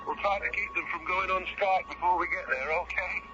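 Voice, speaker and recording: High-voiced British freighter captain, over radio. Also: male voice, brisk, highs cut off. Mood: joking. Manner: breezy, colloquial, wry. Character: British space freighter captain, irreverent and matey. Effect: radio transmission filter. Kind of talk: radio drama acting. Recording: noisy, band-limited old radio drama transmission.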